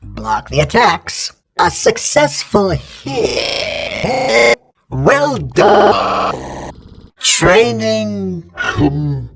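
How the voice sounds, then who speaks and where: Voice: medium pitch, extremely slow, gravelly, faint music behind, heavily acted. An actor voicing an emotionless training droid in a video game, giving formal, clipped combat instructions through robotic processing. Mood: stern, procedural. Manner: formal, procedural, deadpan announcements. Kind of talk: scripted video game voice lines.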